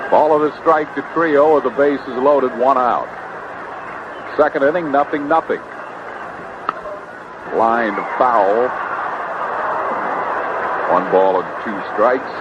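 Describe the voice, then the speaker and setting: A man's voice: medium pitch, slow pace, pauses often. A man calling baseball play-by-play on the radio in short, clipped phrases. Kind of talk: live radio baseball commentary. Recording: noisy, dull vintage radio broadcast. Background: loud outdoor stadium ambience.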